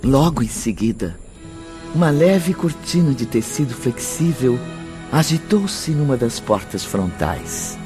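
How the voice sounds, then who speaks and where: A man narrating a scripted radio drama in a dramatic, measured manner. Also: mid-range voice, big pitch swings. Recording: music behind the voice.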